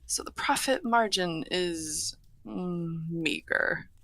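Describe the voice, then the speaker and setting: A woman playing a courteous, earnest half-dragon broker in a fantasy audio roleplay, speaking formally and with measured eloquence. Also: fairly low pitch, creaky, a bit bright, no echo, full character voice. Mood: wry, businesslike. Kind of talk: scripted fantasy audio roleplay, addressing the listener.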